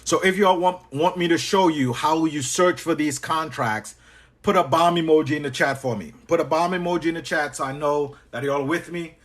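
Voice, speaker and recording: A man with a Trinidadian accent, a business coach teaching on a live stream and chatting back to viewers with quick repeated acknowledgements. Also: a little high. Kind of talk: live-stream teaching while answering chat.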